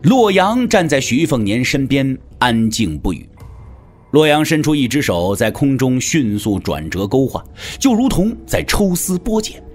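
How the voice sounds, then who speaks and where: A man's voice: mid-range voice, big pitch swings, measured pace, soft background music. A man giving a dramatic scripted narration of a martial arts story.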